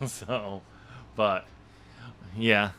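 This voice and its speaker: A man's voice: lowish voice, fairly even intonation, extremely slow, pushed and bright, clear, resonant tone. A man hosting a podcast about his own novel draft, chatting casually, laughing often and rambling with lots of fillers. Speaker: podcast host and author.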